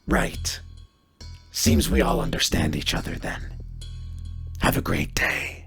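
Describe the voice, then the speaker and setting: A man voicing a gruff, sly cyborg gang boss with a gravelly growl, slow and falsely polite in a tabletop game. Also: fairly bright tone, faint music behind. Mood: veiled menace.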